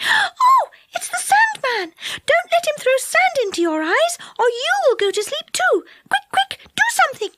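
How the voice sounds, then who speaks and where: A woman voicing an excitable, squeaky little fairy girl in a storybook reading. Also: normal speed, a little hiss or hum, exaggerated voice. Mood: panicked.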